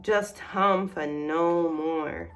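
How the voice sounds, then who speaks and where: A woman narrating a scripted children's bedtime story with expressive, deliberate storybook phrasing. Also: deep voice. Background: music.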